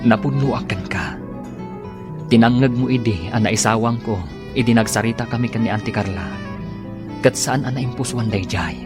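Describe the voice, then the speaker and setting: A man's voice: medium pitch, moderate pace, long pauses, close to an everyday voice. A man playing an earnest, insistent well-off suitor courting a housemaid in an Ilocano radio drama. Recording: radio drama with music underneath.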